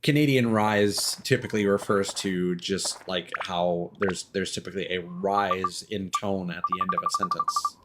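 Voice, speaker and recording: A man commentating casually on a game livestream, rambling in halting asides with repeated words. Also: sits low, fairly even intonation, very noisy. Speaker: game streamer.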